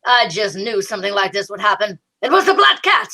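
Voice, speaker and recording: A woman's voice: mid-range voice, very animated, brisk, sharp tone, heavily acted. A woman playing a fussy, droll, superstitious male sidekick in a radio mystery, arch and theatrical. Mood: nervous, arch. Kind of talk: old-time radio comedy-mystery acting.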